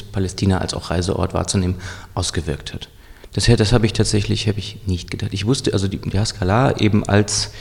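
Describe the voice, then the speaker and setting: A man with a fairly low, gravelly voice talks through a history in a measured, explanatory way, pausing and restarting his sentences as he thinks. The room gives his voice a long, ringing reverb. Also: a little mellow. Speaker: historian guest. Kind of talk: thoughtful interview answers.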